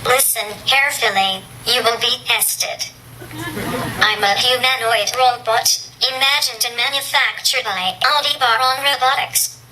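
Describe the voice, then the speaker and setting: A woman's voice as a proud little humanoid robot. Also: on the high side. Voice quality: synthetic, evenly paced. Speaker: robot giving a presentation. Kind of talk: scripted synthetic speech. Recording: noisy live room.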